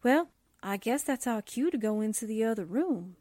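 A woman's voice: fairly high pitch, animated, normal speed, warm tone, dry recording, studio quality. A woman playing a blunt, wry newspaperwoman sidekick in 1940s radio style, matter-of-fact. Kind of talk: 1940s radio drama acting.

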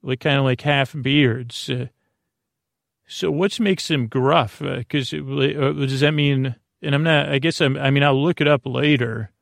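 A man hosting a sleep podcast, with a creaky, gravelly voice, rambling in a meandering, halting monologue that often stops and restarts. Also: mid-range voice, steady tone, dry recording, studio quality. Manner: meandering, halting, self-deprecating.

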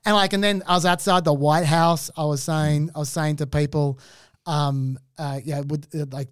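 An Australian man telling a story on a podcast in an animated way, laughing often and restarting his sentences. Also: on the high side, normal speed, smooth, dry recording, studio quality. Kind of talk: casual storytelling chat.

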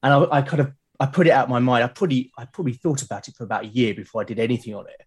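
A man's voice: mid-range voice, racing, darkish tone, husky. A man chatting casually as a podcast guest, enthusiastic but hesitant, often repeating words as he goes. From Britain. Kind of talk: casual conversational interview.

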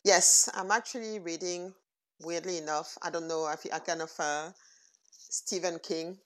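A woman in her forties speaks with a French accent in a notably low register. She talks as an interview guest, thoughtful and unhurried, leaning on fillers and restarting her sentences. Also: fairly even intonation, fairly bright tone, low-quality recording. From France. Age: 40s. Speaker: guest entrepreneur. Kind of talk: casual interview conversation.

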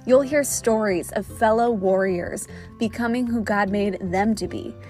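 A woman's voice: mid-range voice, measured pace, soft background music. A woman hosting a faith podcast, gushing with praise and chatting casually. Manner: gushing, warm-hearted praise, filler "like". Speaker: podcast host.

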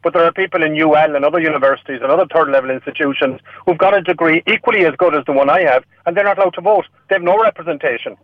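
A man's voice: on the high side, dry recording. A man from an Irish-speaking region, a native Irish speaker with an Irish accent, speaking as an election candidate on a radio panel with frequent restarts. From Irish-speaking region of Ireland. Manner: halting, many restarts. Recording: tinny phone line.

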